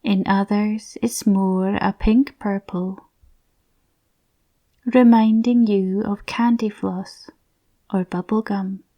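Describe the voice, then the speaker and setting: A woman softly narrating a scripted guided visualisation in a soothing, breathy, near-whispered voice. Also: medium pitch, steady tone, takes it slowly, pauses often, lo-fi sound.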